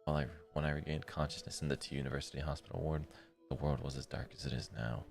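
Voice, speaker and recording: A man reading a visual novel's inner monologue as a tormented, bitter young man, in a very deep, gravelly voice with a measured literary delivery. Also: level tone, soft background music. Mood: bleak, weary. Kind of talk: read-aloud visual novel narration.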